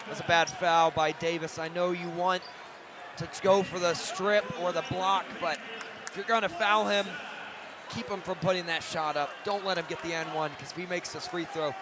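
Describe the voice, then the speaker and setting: A man's voice: sits high, fairly even intonation, normal speed. An American man giving informal, blunt color analysis during a live basketball broadcast. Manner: informal, blunt, critical asides. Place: courtside in a large indoor arena. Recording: live arena broadcast, noisy crowd behind. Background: crowd, arena noise, bouncing basketball.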